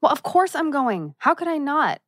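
Woman doing a high sassy teen girl, exasperated, tv drama read, dry close sound. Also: very animated, moderate pace, a bit bright, dry recording. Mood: exasperated, sarcastic. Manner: sarcastic, exasperated. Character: snippy, sarcastic teenage girl in a bridesmaid dress.

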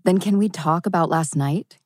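A woman's voice: lowish voice, normal speed, a little mellow, no echo, clean studio sound, natural voice. A woman playing a resigned middle-aged old flame on the run, in a natural dramatic table read. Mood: tense.

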